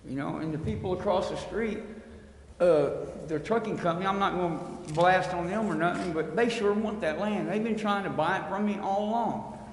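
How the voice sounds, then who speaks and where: Male resident at a hearing, slightly high voice, plain-spoken and pleading, in a reverberant hall. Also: normal speed. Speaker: resident appealing at a city council hearing. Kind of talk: unscripted public plea. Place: council chamber. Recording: echoey hall, long reverb, faint noise.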